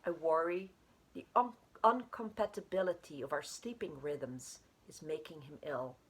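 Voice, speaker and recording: A woman reading her own literary short story aloud in an expressive way. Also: low-pitched, measured pace, slightly rough.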